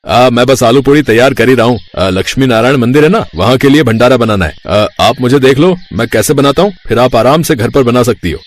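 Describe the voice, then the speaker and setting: A man's voice: mid-range voice, fairly quick, lightly acted. A man playing a busy, friendly sweet-shop cook in a moral story, helpful and matter-of-fact.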